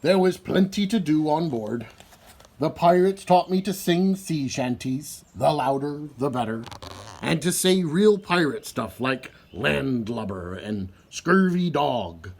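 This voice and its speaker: Male children's story reader, husky voice, expressive and swooping, unhurried picture-book delivery. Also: on the high side.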